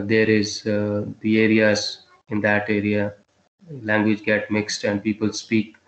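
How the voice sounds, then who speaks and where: Male teacher, low voice, halting classroom delivery, echoey room. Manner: halting, many fillers and restarts, quizzes students. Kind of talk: classroom lesson with questions.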